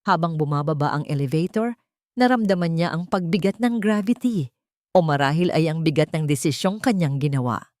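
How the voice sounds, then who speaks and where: A woman reading a romantic drama story as narrator, in a dramatic, expressive scripted style. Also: low-pitched, moderate pace, no room sound, studio quality.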